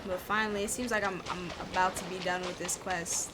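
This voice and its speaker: A woman's voice: on the low side, fairly even intonation, fairly quick, very noisy. A woman streaming a video game, chatting casually and informally as she reacts to it in a laid-back way. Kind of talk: casual chat and reactions while playing. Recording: loud game music behind. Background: video game music and dialogue.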